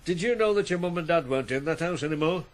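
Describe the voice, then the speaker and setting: Man playing stern farm boss with lively intonation. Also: a little high, natural voice. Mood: stern, probing. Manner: measured, questioning, matter-of-fact. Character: stern but fair farm boss, plain-spoken older authority. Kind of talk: 1980s radio drama acting.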